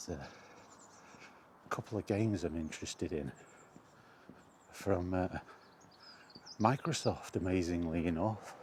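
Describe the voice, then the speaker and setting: Low-voiced, slow-talking man with background noise. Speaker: podcast host chatting solo. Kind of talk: casual rambling chat recorded while out walking. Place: outdoors, walking to and in a park. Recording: steady background noise, outdoor ambience.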